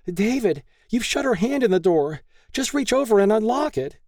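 A man's voice: high voice, very animated, normal speed, vocal fry, a little mellow, no room sound, studio quality, voice pushed up, heavily acted. A man voicing a frightened, battered mother in an audiobook, pleading, anxious and protective. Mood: desperate pleading. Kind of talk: audiobook character acting.

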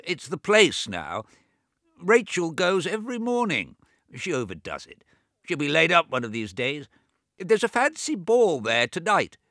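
A fussy, money-minded deaf old man who keeps repeating himself, played by a man narrating a storybook. His voice is pushed high and loud, swinging widely in pitch, bright and dry. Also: dry recording. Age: over 70. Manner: fretful, repetitive, nagging.

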